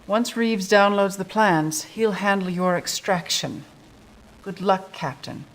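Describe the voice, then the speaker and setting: A no-nonsense resistance officer in a video game. Her voice sits at a middle pitch, and she delivers mission briefings with serious, clipped, businesslike control. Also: takes it slowly, a little hiss or hum, rough recording. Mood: grave, businesslike. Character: stern, businesslike female military resistance officer.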